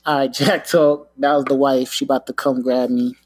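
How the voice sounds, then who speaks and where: A man's voice: medium pitch, little pitch movement, moderate pace, slightly rough, dry recording. A man in his thirties from Long Island with a New York accent, hosting a casual solo podcast from home and rambling with lots of filler. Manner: laid-back, rambling, lots of filler and "you know what I'm saying". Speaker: podcast host. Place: home room, downstairs.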